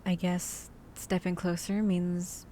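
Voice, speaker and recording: A woman's voice: on the low side, little pitch movement, takes it slowly, mellow, slight background noise. A woman playing a chatty, cautious zombie-apocalypse survivor in an ASMR roleplay audio drama, talking to the listener in rambling, hesitant asides. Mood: caring, practical.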